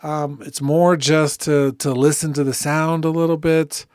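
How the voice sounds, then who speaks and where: A man giving a casual software demonstration, speaking informally and thinking aloud as he goes. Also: a little high, little pitch movement, a little slow, clean tone, no echo, studio quality. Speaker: music software tutorial host.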